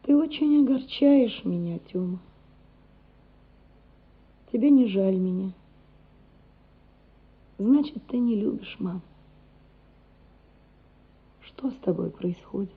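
An actress playing a loving, anguished gentry mother in a radio play, earnest, measured and emotional. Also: medium pitch, lively intonation, husky, heavily acted. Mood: tender, reproachful. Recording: muffled, phone-like radio play recording.

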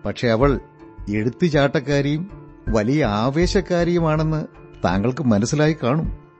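A man's voice: mid-range voice, animated, measured pace, darkish tone, close to an everyday voice. An actor playing an evasive, self-important stepfather in a radio drama, formal and defensive, outwardly polite but easily rattled. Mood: politely defensive. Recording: dull lo-fi radio recording, music behind.

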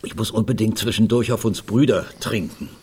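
An actor playing a pompous, sentimental, tipsy artist in a radio play, given to florid phrases. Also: male voice, lowish voice, steady tone, brisk, darkish tone, slight background noise, close to an everyday voice. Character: effusive, sentimental, tipsy artist fond of toasts. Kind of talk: German radio play acting.

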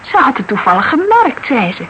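High, very lively Dutch young woman. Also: a little fast, slight background noise, exaggerated voice, low-quality recording. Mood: animated recounting. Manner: colloquial, emphatic, thinking aloud. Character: lively young woman, shrewd, talkative associate of a private detective. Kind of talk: Dutch radio crime drama acting, conversational.

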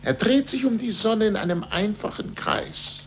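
An actor playing an impassioned, urgent astronomer in a 1940s radio play, speaking emphatically. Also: male voice, high voice, expressive, moderate pace, a little hiss or hum. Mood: excited, triumphant. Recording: husky-edged, bright 1940s radio recording.

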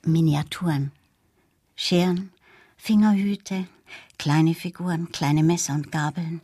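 A melancholy, withdrawn middle-aged mother in a radio drama. Her voice is lowish, very mellow and breathy. She speaks softly and wistfully, hesitating, in a dry close recording. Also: fairly even intonation, slow, no echo, full character voice. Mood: fragile, melancholy. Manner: soft, wistful, hesitant, near whispering at times.

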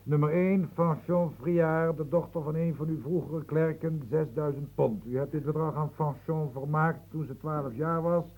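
A man's voice: a little high, fairly even intonation, normal speed, hardly any pauses, a little mellow, low-quality recording. An actor playing a precise, matter-of-fact male secretary reading out accounts in a radio play. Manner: matter-of-fact, reads lists carefully.

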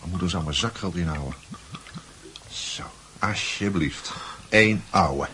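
A man's voice: sits low, measured pace, sharp tone, slight background noise. A man playing a breezy, boastful teenage schoolboy in a Dutch radio play, chatty and full of worldly-wise airs. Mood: jovial, hospitable.

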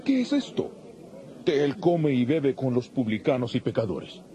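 A man's voice: medium pitch, big pitch swings, normal speed, warm tone, dull audio, lightly acted. A man playing an indignant, scandalized scribe with accusing, incredulous questioning in a dramatized audio Bible. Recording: music bed behind.

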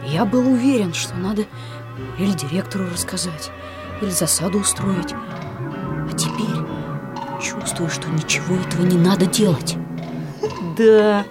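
A woman voicing an excitable, chatty schoolboy in a Soviet radio play. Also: mid-range voice, natural voice.

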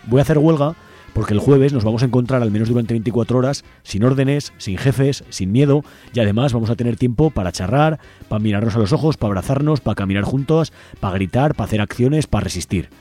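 A man's voice: medium pitch, fast, mellow, faint music behind. A man with an accent from Spain hosting activist radio, speaking in an impassioned way with rhetorical lists. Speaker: radio host. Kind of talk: activist radio talk with phone-in.

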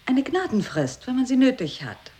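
A woman's voice: sits high, huge pitch swings, moderate pace, warm tone. An actress playing a playful, rule-setting woman with a spiteful streak in an avant-garde radio play, speaking in cryptic, clipped lines. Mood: playful, instructing.

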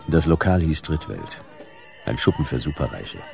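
Noir radio lead: hard-boiled future private eye, dry and laconic, extremely low, creaky voice over music. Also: male voice, big pitch swings, normal speed, a little mellow, full character voice. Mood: grim, weary. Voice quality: gravelly. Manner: laconic, dry, world-weary. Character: hard-boiled, sardonic male private detective in dystopian future. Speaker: narrator and lead character. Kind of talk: noir radio drama narration. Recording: music behind the voice.

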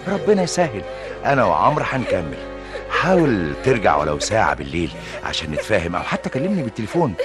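An actor playing a calm, persuasive Egyptian colleague in a TV drama. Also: male voice, on the low side, fast talker, music in the background. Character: level-headed male engineer colleague.

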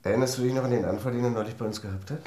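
An actor playing an evasive, breezy husband in a German radio drama, offhand and feigning innocence. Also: male voice, medium pitch, steady tone, rapid-fire, close to an everyday voice. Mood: disapproving. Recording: roomy echo with clear background noise.

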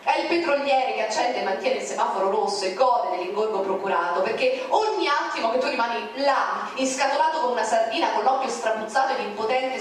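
A woman narrator reciting a poem in a declamatory, indignant manner. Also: a little high. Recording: very echoey, distant, music behind.

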